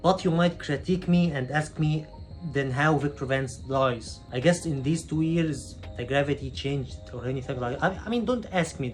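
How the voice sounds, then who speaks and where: A man from Egypt with an Egyptian accent, a native Arabic speaker, answering viewer questions in a frank, chatty way, his phrases often trailing off quieter at the end. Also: on the high side. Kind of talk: casual Q&A chat.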